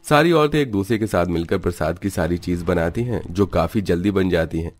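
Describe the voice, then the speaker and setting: A man's voice: sits low, brisk, dry recording. A man narrating a scripted cartoon story in a dramatic storybook manner.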